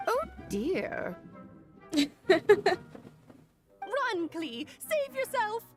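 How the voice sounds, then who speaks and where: A woman's voice: very high-pitched, wildly swooping pitch, extremely slow, faint music behind, heavily acted. An actress playing a whimsical, eloquent and self-assured sorceress mother in a video game, with a dramatic manner. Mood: playfully dramatic.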